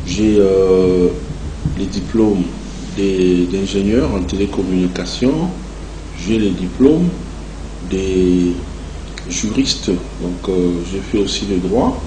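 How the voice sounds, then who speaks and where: A man with a Congolese accent giving long, measured and halting answers as a guest in a television interview. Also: sits low. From Democratic Republic of the Congo. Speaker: interview guest, entrepreneur and association president. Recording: echoey room, clear background noise.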